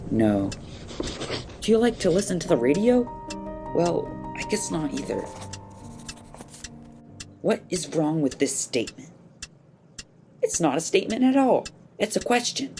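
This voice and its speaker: A woman playing a bright, modest young boy in an audio drama, polite and questioning, thinking aloud. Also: on the low side, sing-song, measured pace, pauses often, music in the background. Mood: puzzled, pondering. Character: bright, modest, earnest orphan boy taking a test.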